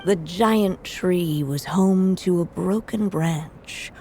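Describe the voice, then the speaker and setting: A woman playing a solemn storybook narrator in a video game, with a mellow voice. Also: on the low side, takes it slowly, faint music behind.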